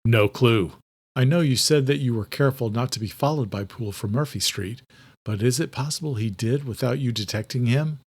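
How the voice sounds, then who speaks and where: A man's voice: mid-range voice, fairly quick, breathy edge. Man acting a tense, determined young man. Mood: tense questioning.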